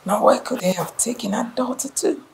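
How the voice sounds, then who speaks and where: An actress playing a distraught mother who swings between tearful whispers and anguished shouting. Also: on the high side, brisk, vocal fry, a little hiss or hum. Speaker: mother whose daughter was taken. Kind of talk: film drama acting.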